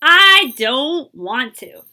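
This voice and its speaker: A woman voicing a spirited, imaginative young girl in a storybook, emphatic and expressive. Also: high voice, extremely slow, pitched above the performer's own voice, exaggerated voice. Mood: proud.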